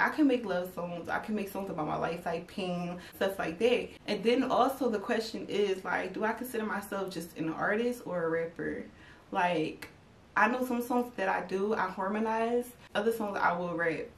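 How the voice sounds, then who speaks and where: A woman's voice: medium pitch, sharp tone, echoey room, recorded from a distance, slight background noise. A young woman chatting casually to her viewers, slightly congested and stuffy, rambling with lots of fillers. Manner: rambling, filler-heavy, talks straight to viewers. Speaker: YouTube vlogger. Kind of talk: casual chat to camera.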